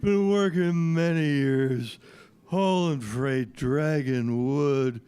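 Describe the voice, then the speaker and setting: A man playing a gloomy, tired old rusty freight engine in children's audio theater, groaning wearily and haltingly. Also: on the high side, warm tone, clear, resonant tone. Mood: weary, gloomy.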